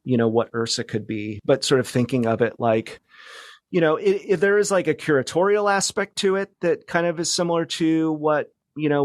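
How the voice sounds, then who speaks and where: A man's voice: medium pitch, no echo, clean studio sound. A man speaking as a podcast guest in casual interview talk, explaining things in a loose, hesitant way.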